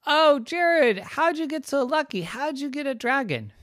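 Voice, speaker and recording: A storyteller voicing a group of excited young children who fire off breathless, squealing questions. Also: high voice, measured pace, dry recording, studio quality, voice pushed up. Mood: amazed.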